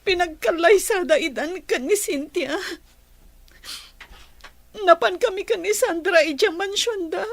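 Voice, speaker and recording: Very high, tearful Filipino girl. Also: a little slow, pauses often. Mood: tearful, pleading. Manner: plaintive, tearful. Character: heartbroken, tearful young daughter in love. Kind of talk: Filipino radio drama acting.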